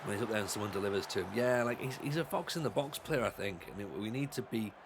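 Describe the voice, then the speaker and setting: A man's voice: fairly low pitch, fast, background noise. Man streaming, stuffy with a cold. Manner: chatty, thinks aloud, urges on his players. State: congested with a cold. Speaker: game streamer. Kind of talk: live gameplay commentary.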